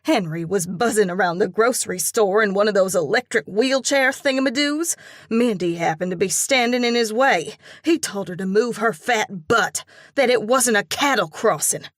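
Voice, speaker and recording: A woman voicing an excitable, outraged young woman friend who talks in an indignant rush. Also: no room sound, studio quality. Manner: rushed, indignant, high-pitched.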